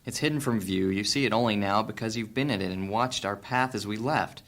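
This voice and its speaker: An actor playing a wary, sardonic thief on the run, guarded and blunt, in a fantasy audio drama. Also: male voice, fairly low pitch, brisk, fairly bright tone, close to an everyday voice. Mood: skeptical.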